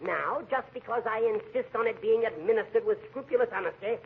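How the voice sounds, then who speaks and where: An actor with an American accent playing a crotchety, imperious judge in a 1940s radio comedy. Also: male voice, high-pitched, fairly quick. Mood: stern. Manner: imperious, scolding, sarcastic. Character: crotchety, easily offended male judge ruling his courtroom. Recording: muffled, noisy 1940s radio recording.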